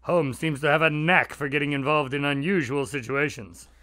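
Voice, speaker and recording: A man's voice: a little high, fairly even intonation, moderate pace, pushed and bright, dry recording, studio quality. An actor playing a polite doctor who narrates and grows exasperated with his friend in a radio drama.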